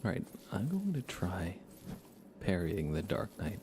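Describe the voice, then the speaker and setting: A man giving soft-spoken, relaxed and unhurried ASMR commentary while he plays a video game live. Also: deep voice, background noise. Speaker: livestreamer playing a video game.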